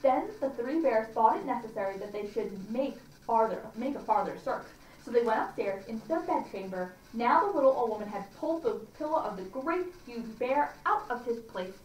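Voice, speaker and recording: A woman reading a children's story aloud for a library audience, deliberately and with small stumbles. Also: fairly high pitch, lively intonation, darkish tone. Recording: echoey room, distant mic, faint noise.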